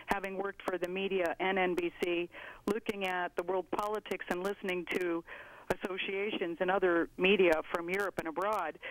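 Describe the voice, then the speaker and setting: A man's voice: high-pitched, fairly bright tone, no room sound. A man calling in with a political opinion, talking in a wordy, formal, rambling way. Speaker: phone-in caller. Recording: dry telephone line.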